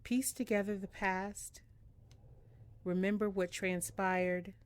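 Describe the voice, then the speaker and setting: A woman's voice: medium pitch, little pitch movement, slow pace, clear, resonant tone. A woman doing a casual let's-play, reading on-screen game text aloud with chatty murmured asides, over game music. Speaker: video game streamer. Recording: music playing behind the voice.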